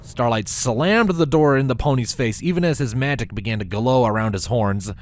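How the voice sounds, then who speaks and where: A man narrating a scripted story with a dramatic, expressive delivery. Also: medium pitch, moderate pace, a little hiss or hum.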